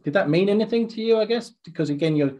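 A man's voice: high-pitched, animated, dark tone. A man hosting a podcast interview, asking long questions with many false starts. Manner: halting questions with many restarts.

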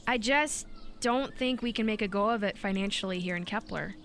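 Actress as a young mom in a radio play, bright clear-cut voice, polite n hesitant, faint outdoor sound behind. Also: female voice, medium pitch, brisk, a little hiss or hum, lightly acted. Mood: reluctant.

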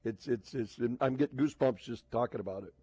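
Guy hosting sports radio, mid pitch, casual, keeps restarting his sentences, no room echo. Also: dark tone, breathy edge. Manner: halting, repetitive, often restarting sentences. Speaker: sports talk radio host.

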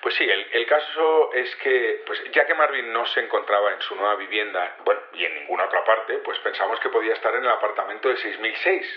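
A man with an accent from Spain playing an earnest, chatty security chief, explaining haltingly over a telephone in an audio drama. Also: on the low side. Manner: hesitant, halting, colloquial, explanatory. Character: earnest, chatty male head of community security. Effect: telephone filter. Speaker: security chief interviewed by phone. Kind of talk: naturalistic audio drama interview. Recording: dull, echoey phone line.

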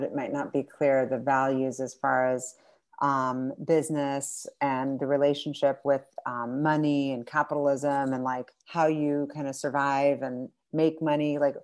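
Casting note: woman host, very low voice, friendly, upbeat and encouraging as she asks questions. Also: darkish tone. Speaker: interviewer. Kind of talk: interview questions.

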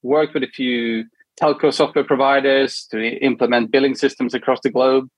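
A middle-aged Swedish man with a somewhat husky voice. He talks as a guest in an easygoing, halting way, often stopping to add a quick check-in. The sound is dry, with no room echo. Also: mid-range voice. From Sweden. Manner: relaxed, hesitant with many ums and tag questions. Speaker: guest, product manager. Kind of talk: casual interview chat.

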